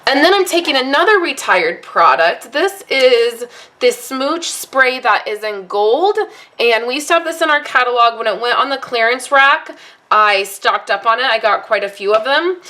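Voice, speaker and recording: A woman crafter with a fairly high, slightly hoarse voice talks through a card-making project in a casual, rambling way. She apologizes now and then, and she sounds like she is speaking at a home craft table under an overhead camera. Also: pushed and bright, a little hiss or hum. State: voice a little hoarse from allergies and little sleep. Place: home craft workspace, indoors.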